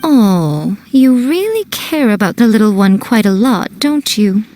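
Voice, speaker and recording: A woman voicing a sly fox-spirit shrine priestess in a game dub, smooth, playful and teasing. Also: mid-range voice, big pitch swings, a little slow, warm tone.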